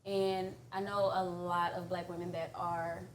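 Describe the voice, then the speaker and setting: A woman's voice: lowish voice, flat delivery, a little slow. A woman from Fort Worth, Texas, talking casually as a panelist. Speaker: panelist, coffee business owner. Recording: echoey room with faint noise.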